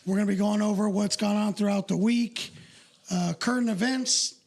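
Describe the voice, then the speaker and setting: A man co-hosting a comedy podcast with a hoarse, raspy voice, chatting loosely and crudely. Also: high voice, fairly even intonation, normal speed. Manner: loose, crude, joking banter. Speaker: podcast co-host. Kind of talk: casual comedy podcast chat.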